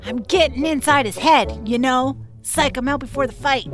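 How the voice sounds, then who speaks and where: An actor playing a cocky, reckless, excitable female elf fighter in an audio drama, brash and boastful. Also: sits high, normal speed, lightly acted. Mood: cocky taunting. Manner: brash, boastful, battle yells. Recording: loud music under the voice.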